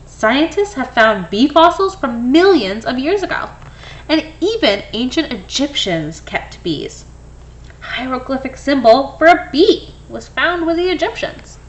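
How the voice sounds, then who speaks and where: High-voiced woman reading aloud, slow and animated. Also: rough recording. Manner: expressive, acts out dialogue. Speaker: picture book reader. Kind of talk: animated children's read-aloud.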